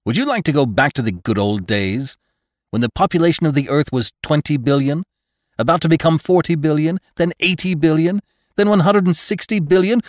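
A man's voice: on the high side, fairly quick, no room sound, clean studio sound, voice pushed up, lightly acted. A man voicing a pompous, exuberant elderly doctor in a storybook reading, with an emphatic, lecturing, oratorical manner. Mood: severe, lecturing. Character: pompous, exuberant elderly male doctor preaching utopian order.

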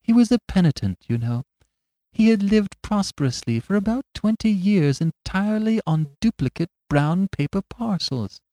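A man voicing a small, timid country priest who speaks mildly and apologetically, in a raised, lively voice. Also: sits high, normal speed, no room sound, pitched above the performer's own voice. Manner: mild, apologetic, eager.

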